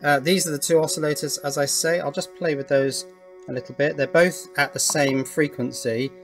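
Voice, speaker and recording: A man's voice: on the high side, fairly even intonation, moderate pace, soft background music. A British man presenting an informal hands-on demonstration, thinking aloud with an enthusiastic manner. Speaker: electronics hobbyist presenter.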